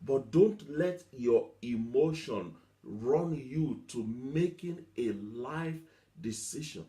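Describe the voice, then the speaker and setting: A man's voice: mid-range voice, expressive, slow pace, mellow. A man preaching a motivational teaching sermon, emphatic, repeating himself and asking rhetorical questions. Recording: echoey room.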